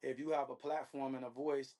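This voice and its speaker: A man's voice: mid-range voice, level tone, a little fast, mellow. A man speaking casually as an interview guest, using slang and repeated check-in phrases. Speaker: interview guest, reality dating show contestant. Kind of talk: casual conversational interview answers.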